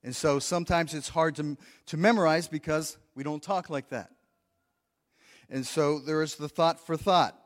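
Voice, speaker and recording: Male pastor, slightly high voice, earnest teaching tone, stumbles and repeats words, long hall reverb. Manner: earnest, repeats words while thinking, asks the congregation questions. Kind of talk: practical sermon teaching. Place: church sanctuary.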